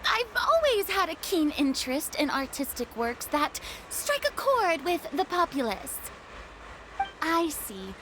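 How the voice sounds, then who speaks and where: A woman's voice: high-pitched, sing-song, measured pace, bright, forceful tone, a little hiss or hum, heavily acted, poor audio. A woman voicing a vain, theatrical young star who talks in a haughty, dramatic, self-important way, in a video game. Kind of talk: game voice acting. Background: game music.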